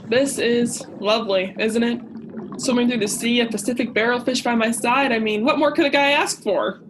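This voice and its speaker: A woman's voice: fairly high pitch, steady tone, moderate pace, noisy background, natural voice. A young woman playing a melodramatic, lonely, self-pitying male diver in a comic radio play, with a theatrical manner. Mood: gushing, dreamy. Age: young adult. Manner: theatrical, gushing then griping.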